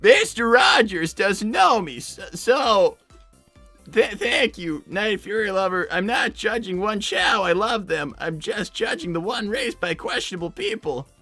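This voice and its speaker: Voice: mid-range voice, expressive, measured pace, pushed and bright, no echo, faint music behind, full character voice. A performer voicing a flustered, defensive young male fox in improvised live roleplay, stammering and protesting in a whiny way. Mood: defensive, subdued. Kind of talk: live improvised character roleplay, reading donor messages.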